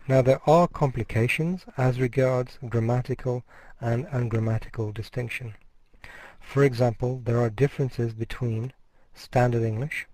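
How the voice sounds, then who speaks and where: Mellow-voiced man giving a measured lecture. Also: mid-range voice, no echo, rough recording. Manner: measured, explanatory, restarts words mid-phrase. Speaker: university lecturer. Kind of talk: recorded course lecture.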